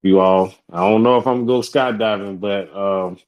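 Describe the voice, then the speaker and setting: A man with a deep, low voice co-hosts a casual support group conversation. He speaks in an affirming, easygoing way, often addressing the group directly. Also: fairly even intonation, moderate pace, a little mellow. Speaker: co-host.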